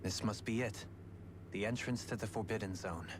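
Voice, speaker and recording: A man's voice: fairly low pitch, moderate pace, noisy background, natural voice. A man playing a learned, analytical character in a video game, speaking formally and articulately. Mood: pondering. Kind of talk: video game cutscene voice acting.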